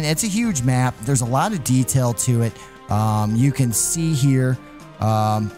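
A man's voice: medium pitch, a little mellow. A man hosting a gaming video, giving casual gameplay commentary with some light roleplay storytelling in an informal, hyped but hesitant way. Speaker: gaming channel host.

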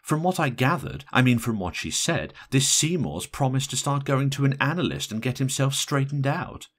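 Man voicing a brash, irritable woman, pitched up. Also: expressive, normal speed, a bit bright, husky. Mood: weary, flippant. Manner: blunt, emphatic, dismissive. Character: brash, irritable married woman, bluntly sarcastic matron of honour. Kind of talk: audiobook character voice.

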